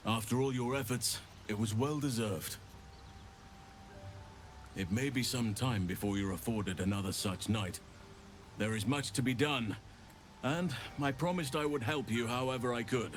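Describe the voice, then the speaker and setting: An actor playing a grave druid counsellor in a fantasy video game, speaking in a formal, deliberate, earnest way. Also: male voice, mid-range voice, faint music behind, natural voice. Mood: grave, warning.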